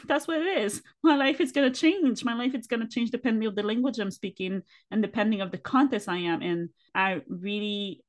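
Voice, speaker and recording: A woman with a Spanish accent whose first language is Spanish, speaking earnestly and encouragingly in a casual podcast chat. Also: mid-range voice. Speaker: podcast host. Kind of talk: casual conversation.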